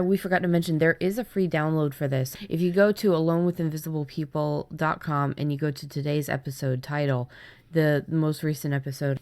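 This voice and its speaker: Woman with a lowish voice, casual chat, stops and restarts a lot, really dry recording. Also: a little mellow, no echo. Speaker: podcast co-host and writer.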